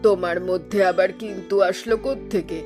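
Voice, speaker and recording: A woman plays a middle-aged village mother in a cartoon folk tale. She is firm and complaining in a mid-range voice, with clear plucked-string music behind her. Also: mid-range voice, fairly even intonation, brisk, a little mellow, natural voice. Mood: demanding. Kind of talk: animated Bengali folk tale voice acting.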